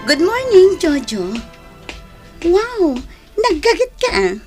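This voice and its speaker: A woman's voice: extremely high pitch, wildly swooping pitch, darkish tone, soft background music, heavily acted. A woman playing a jealous, easily offended office worker in a Filipino radio drama, with an Ilocano accent. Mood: sarcastic. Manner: sarcastic, quick to take offence. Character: touchy, jealous, confrontational female office worker, Fema.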